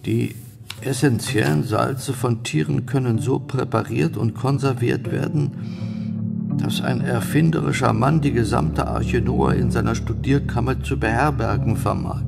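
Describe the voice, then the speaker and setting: An actor playing a solemn, ominous scholar reciting an occult text in a radio drama, with a gravelly voice. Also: male voice, medium pitch. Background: prominent music.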